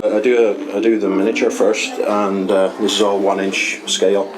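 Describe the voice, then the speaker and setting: A man's voice: lowish voice, level tone, normal speed, rough recording. A middle-aged man with an Irish accent explaining his work in an informal interview, hesitant and often adding "you know". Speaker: pantomime scenery painter. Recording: loud music behind the voice. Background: loud music.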